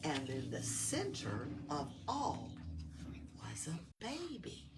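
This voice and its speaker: A woman reading a children's storybook aloud, expressive and patient. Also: deep voice, slow, vocal fry. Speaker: library story-time reader. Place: public library room. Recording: distant mic with faint room noise.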